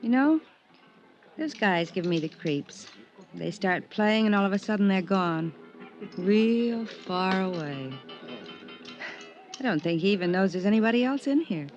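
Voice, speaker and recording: A woman's voice: medium pitch, expressive, measured pace, pauses often, darkish tone, lightly acted. An actress playing a bored, chatty young woman on vacation in a 1950s radio drama, casual and world-weary. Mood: intrigued, casual. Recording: dull, muffled vintage radio recording. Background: guitar music.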